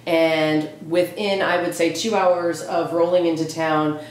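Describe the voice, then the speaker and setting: A woman's voice: low-pitched, fairly even intonation, measured pace. A woman in her forties chatting casually and emphatically in an audition-style conversation. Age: 40s. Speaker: home buyer auditioning for a TV show. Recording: very echoey, roomy sound.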